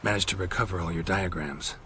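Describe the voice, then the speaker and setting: An actor voicing a laconic, world-weary monster hunter in a video game, speaking in short, deadpan phrases. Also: male voice, deep voice, fast, a little hiss or hum. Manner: terse, clipped, deadpan. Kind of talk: video game character acting.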